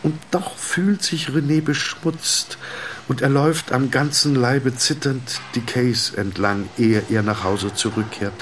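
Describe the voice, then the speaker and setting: A man narrating a literary audio drama in an introspective, dramatic way with deliberate phrasing. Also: medium pitch, a bit bright, a little hiss or hum.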